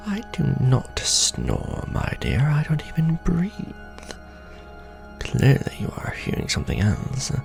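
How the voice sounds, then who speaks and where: ASMR vampire lord played by a man, courtly, patient, heavy gravelly fry, breathy, formal and measured, music behind. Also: medium pitch, huge pitch swings, exaggerated voice. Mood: dry, indignant. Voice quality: gravelly, often breathy and half-whispered. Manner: formal, archaic wording, measured delivery with pauses. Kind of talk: ASMR roleplay addressed intimately to the listener.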